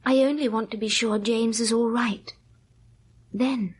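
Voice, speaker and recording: An actress playing a fragile, wistful young wife in a radio drama, speaking in a hushed, slow, dreamy and insistent way with a breathy voice. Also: female voice, fairly high pitch, steady tone. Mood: dreamy, soothing. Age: young adult. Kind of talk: old radio drama acting.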